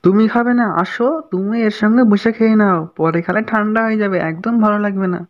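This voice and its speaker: Kindly village dad, done by a woman, mid-pitched, quick talker, caring, cartoon fairy tale, lo-fi. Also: fairly even intonation, natural voice. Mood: caring, coaxing. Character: kindly village father, caring toward his daughter. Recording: dull lo-fi sound.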